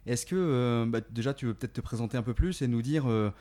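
A man's voice: mid-range voice, fairly quick, mellow. A man hosting a radio interview, asking questions in a hesitant way with many fillers and restarts. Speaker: radio show host. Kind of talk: interviewing a guest.

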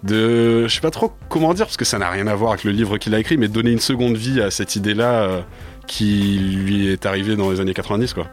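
A man's voice: fairly low pitch, fast talker, soft background music. A man in his twenties, a native English speaker, answering a radio interview in a studio with many hesitations and fillers. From France. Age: 20s. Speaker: rapper guest.

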